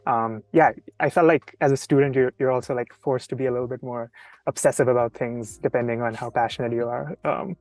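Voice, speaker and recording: A young man, a designer from Sri Lanka, chatting on a video call in a relaxed way with many "like"s and "um"s. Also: medium pitch, a little fast, dry recording. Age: young adult. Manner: relaxed, says "like" and "um" a lot. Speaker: guest graphic designer. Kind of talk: casual livestream chat.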